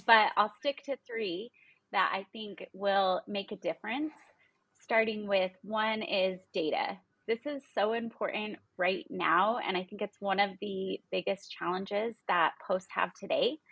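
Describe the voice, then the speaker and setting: A woman's voice: mid-range voice, husky, dry recording, highs cut off. A woman in her thirties giving explanatory, businesslike interview answers as a guest on a video call. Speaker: interview guest, logistics partnerships executive. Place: indoor room, video call. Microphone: video call, close framing.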